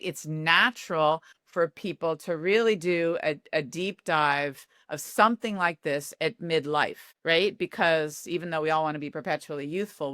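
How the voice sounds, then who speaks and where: A woman psychologist, a guest in an interview, explaining in an animated way and often adding "right?". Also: low voice, no room sound, studio quality. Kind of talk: interview conversation.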